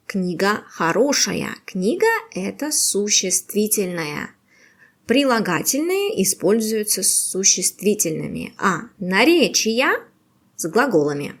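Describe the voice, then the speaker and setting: Slow, breathy woman teacher. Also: medium pitch. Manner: patient, slow and exaggerated pronunciation, lively rising questions. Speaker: Russian language teacher. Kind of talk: slow, clear lesson quiz for beginners.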